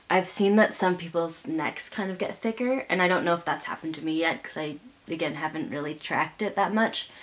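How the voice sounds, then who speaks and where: A young man talking casually to camera in a personal vlog, halting and full of fillers. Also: a little high, a bit bright. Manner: halting, with many fillers and restarts. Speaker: vlogger.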